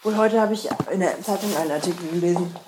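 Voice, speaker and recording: A woman's voice: lowish voice, quick pace, noisy background. A woman talking casually, sharing remarks in everyday conversation. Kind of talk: casual chat.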